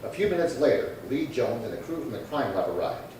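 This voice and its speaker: Radio drama role: actor as clipped, businesslike male detective, mid-pitched, heard far off in a reverberant hall. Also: brisk, close to an everyday voice. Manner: deadpan, clipped, procedural. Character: matter-of-fact male police detective sergeant, terse and polite. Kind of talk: 1950s radio police drama narration and dialogue. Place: live theatre stage reading. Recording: echoey hall, distant mic, faint noise.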